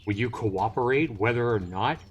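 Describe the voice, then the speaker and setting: A man's voice: mid-range voice, moderate pace, slight background noise, lightly acted. A man voicing an arrogant male elf captor from a visual novel, curt and condescending. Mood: cold challenge.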